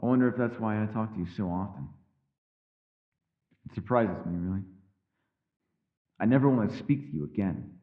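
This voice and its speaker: A man playing a mysterious, powerful and secretive elf in a fantasy ASMR roleplay, speaking in measured, formal, old-fashioned phrases, by turns teasing and world-weary. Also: lowish voice, narrow, tinny audio, close to an everyday voice. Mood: weary, brooding.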